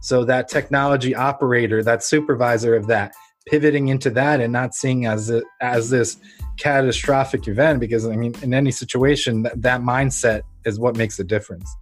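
A man's voice: medium pitch, steady tone, fairly quick. Earnest American man over music. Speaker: podcast host. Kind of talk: conversational podcast interview.

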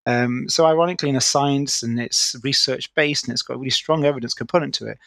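A man's voice: medium pitch, gravelly, fairly bright tone, no room sound. Articulate man in his thirties. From Manchester, England. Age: 30s. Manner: articulate, explanatory, quick with restarts. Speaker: guest orthodontist. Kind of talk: podcast interview.

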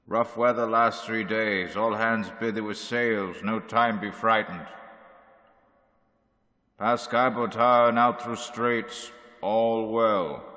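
A man's voice: on the low side, sharp tone, big-room sound. A man playing a grim sea captain. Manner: clipped log-entry delivery. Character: weary ship captain keeping a grim log. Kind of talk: 1930s radio drama reenactment.

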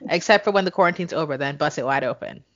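A woman in her thirties talks frankly and with humor, laughing often. Her voice sits very low. The recording sounds dull and lo-fi. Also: quick pace, no echo. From Jamaica. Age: 30s. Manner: candid, laughing, frequent swearing. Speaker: podcast co-host. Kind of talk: casual chat.

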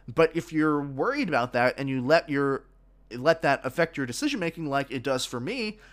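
A man's voice: a little high, expressive, moderate pace, a bit bright. A man hosting his own sports podcast, giving opinions with many fillers and restarts, a little congested from a frog in his throat.

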